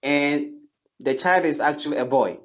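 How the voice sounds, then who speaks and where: A man's voice: a little high, moderate pace. A man who teaches English, explaining his tips step by step in casual talk, often restarting his sentences. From not from Turkey. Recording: slightly dull lo-fi sound.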